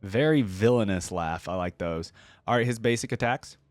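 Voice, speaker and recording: A man's voice: fairly low pitch, moderate pace, dry recording, studio quality. A man hosting a reaction video, giving casual, informal commentary as he thinks aloud.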